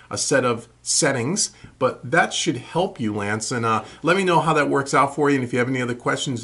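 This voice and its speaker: A man in his forties with a husky voice hosts a tutorial, answering questions in a casual, conversational, explanatory way. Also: medium pitch, fairly bright tone. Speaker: host of a home recording tutorial Q&A show. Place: small furnished room at home, indoors.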